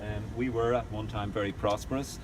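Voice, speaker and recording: A man's voice: on the low side, steady tone, brisk. A middle-aged man with a Northern Irish accent leading a walking tour of his city, a chatty storyteller who jokes with his group. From Northern Ireland. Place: outdoors on city streets. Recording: street noise outdoors.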